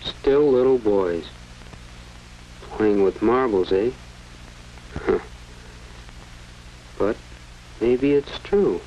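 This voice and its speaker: An actor playing an earnest, self-questioning American teenage schoolboy in a polite, musing 1940s educational film style. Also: male voice, on the low side, extremely slow, pauses often. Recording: muffled, noisy 1940s film soundtrack.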